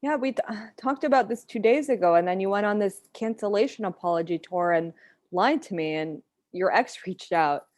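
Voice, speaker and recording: A woman's voice: mid-range voice, moderate pace, a little mellow, no room sound, clean studio sound, close to an everyday voice. A woman acting an exasperated, principled girlfriend in a table read, frank and reproachful. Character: exasperated, principled girlfriend calling out her partner.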